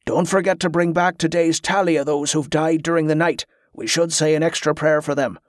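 A man's voice: on the high side, fairly even intonation, brisk, dry recording, studio quality, lightly acted. A man voicing a genial, patient male leader of a religious group in an audiobook, with a welcoming manner.

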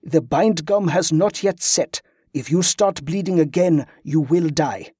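A man's voice: on the high side, measured pace, warm tone, breathy edge, no room sound, clean studio sound. A man voicing a blunt, unemotional field medic with a stiff, formal delivery in an audiobook story. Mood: stern, flat.

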